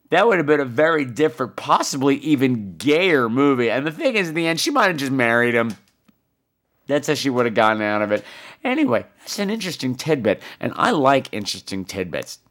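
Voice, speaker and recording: A rather high-voiced American man with very swoopy, animated intonation. He sounds stuffed up with a cold and rambles along like a campy, bawdy podcast host. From United States. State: sick with a cold, phlegmy. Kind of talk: casual, rambling comic monologue.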